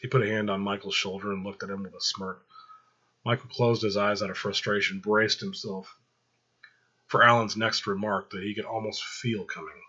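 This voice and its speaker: A man narrating fiction aloud in an expressive storytelling style. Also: on the low side, normal speed, slightly rough, lo-fi sound.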